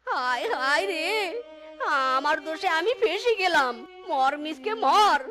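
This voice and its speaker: An exasperated fox husband in a cartoon. The voice is squeaky high, whining and scolding in melodramatic fashion, with music behind it. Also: female voice, animated, a little slow, sharp tone, heavily acted. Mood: self-pitying. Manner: melodramatic wailing complaints. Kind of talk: Bengali cartoon dialogue.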